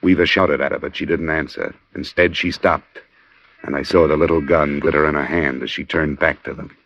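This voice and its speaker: An American actor playing a terse, deadpan hard-boiled investigator in an old radio crime drama. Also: male voice, rumbling low, moderate pace, vocal fry, dry recording, full character voice. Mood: grim, tense. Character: hard-boiled male insurance investigator narrating his case. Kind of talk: 1940s-50s radio crime drama narration. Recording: dull old radio recording, music behind.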